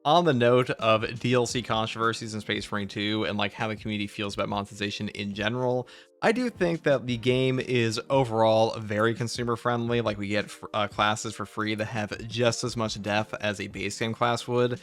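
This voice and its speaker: Low-pitched man talking games casually. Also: moderate pace, a bit bright. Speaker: gaming YouTuber. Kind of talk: casual video game discussion.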